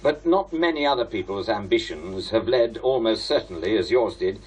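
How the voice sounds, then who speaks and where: British man, radio drama, defiant investigative presenter, sardonic, rough-edged voice, very animated intonation. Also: lowish voice, moderate pace, rough recording. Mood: probing, accusing. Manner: sardonic, confrontational.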